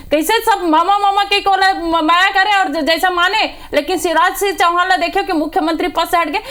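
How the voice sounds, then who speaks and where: A woman's voice: very high-pitched, fairly bright tone. A woman with a Chhattisgarhi accent arguing insistently as a party spokeswoman in a political TV debate. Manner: argumentative, insistent.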